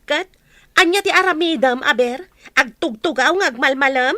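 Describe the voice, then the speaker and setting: A woman playing a nagging, scornful housewife in a radio drama, with a sharp, sarcastic manner. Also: sits high, moderate pace, no echo, clean studio sound. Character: nagging, scornful housewife scolding her husband.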